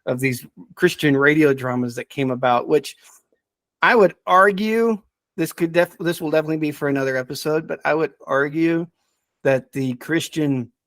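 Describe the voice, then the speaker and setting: A middle-aged man chatting casually as a podcast's subject expert, thinking aloud in a halting way with repeated words. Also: a little high, fairly bright tone, no echo. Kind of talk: casual chat.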